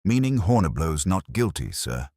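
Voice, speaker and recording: Man playing an eager, low-voiced police sergeant. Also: very animated, moderate pace, a little mellow, no room sound, studio quality. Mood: eager insistence. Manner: eager, assertive. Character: eager, self-satisfied male police sergeant.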